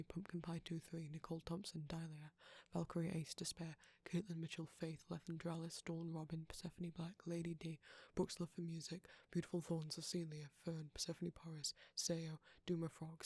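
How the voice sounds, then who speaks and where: A man softly reading out a long list of supporter names as an audio roleplay creator, sometimes dropping into a whisper. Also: fairly high pitch, creaky, breathy edge, no echo. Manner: soft-spoken, slipping into whispers at times.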